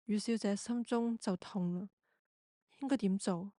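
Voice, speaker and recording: A woman's voice: mid-range voice, steady tone, a little slow, dark tone, no room sound, studio quality. A woman narrating a scripted radio drama.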